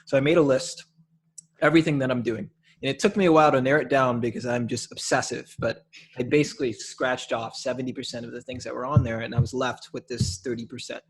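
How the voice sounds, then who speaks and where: A young man from Minneapolis talking as a podcast guest, casual and animated, blunt, with slang. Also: mid-range voice, quick pace, a little hiss or hum. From Minneapolis, USA. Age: young adult. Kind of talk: casual conversation.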